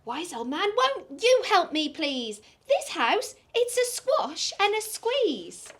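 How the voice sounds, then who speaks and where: A woman's voice: very high voice, very animated, slow pace, exaggerated voice. A woman voicing a flustered, grumbling little old lady in a storybook, exclaiming and pleading dramatically.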